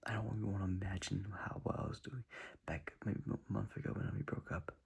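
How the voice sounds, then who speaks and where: Whispering young man, raspy and flat. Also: low-pitched, brisk. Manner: earnest, repetitive, rambling. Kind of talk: whispered advice monologue.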